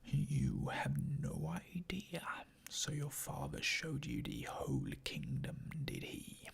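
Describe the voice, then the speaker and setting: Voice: mid-range voice, wildly swooping pitch, slow, gravelly, breathy edge, pitched below the performer's own voice, full character voice. A person whispering the lines of a sly, sarcastic villain lion with a drawling, mock-sweet manner. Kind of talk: whispered script reading.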